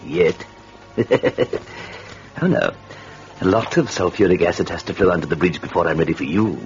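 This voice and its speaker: A man's voice: low-pitched, expressive, normal speed, faint music behind. A man playing a gleefully wicked, mock-courteous devil who tells a story in an old radio horror drama, theatrical and sly. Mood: sly, teasing. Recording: dull lo-fi old radio broadcast recording.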